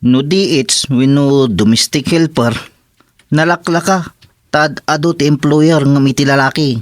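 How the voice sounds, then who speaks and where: An actor playing a resigned, hard-up rural farmer in an Ilocano radio comedy drama, with an Ilocano accent. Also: male voice, fairly high pitch, a little slow, no echo, studio quality, natural voice.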